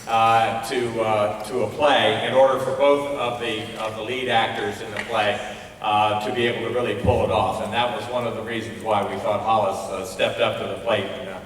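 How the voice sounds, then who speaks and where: Heard from far off in a big reverberant hall with faint noise, a man gives a judge's critique. His delivery is halting and searching, at a middle pitch. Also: level tone, a bit bright. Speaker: festival judge giving critiques. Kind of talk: spoken awards feedback to an audience. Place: large indoor auditorium.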